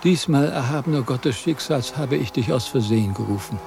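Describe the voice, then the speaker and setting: An actor playing a vain, fearful wandering philosopher in a radio play, plaintive and theatrical. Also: male voice, mid-range voice, lively intonation, brisk, gravelly, a little mellow, a little hiss or hum. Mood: pleading, aggrieved.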